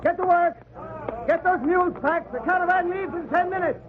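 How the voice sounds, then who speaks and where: An actor playing a hot-headed, vengeful Spanish colonial trader in a declamatory 1940s radio style. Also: male voice, very high voice, normal speed, phone-quality sound, a crowd in the background. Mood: angry, impatient. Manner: impatient, declamatory, barking orders. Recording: noisy, thin 1940s radio recording.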